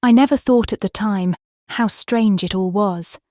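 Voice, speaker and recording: A woman with a British accent playing a tender young Victorian wife, reading her diary in an earnest, expressive, formal way for a dramatised audiobook. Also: mid-range voice, unhurried, no room sound, studio quality, close to an everyday voice. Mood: compassionate.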